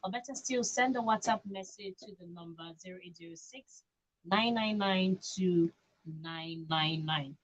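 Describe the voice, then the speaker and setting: A woman's voice: on the low side, normal speed, highs cut off. A woman with a Nigerian accent hosting a live radio phone-in talk show. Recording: clearly noisy live radio recording.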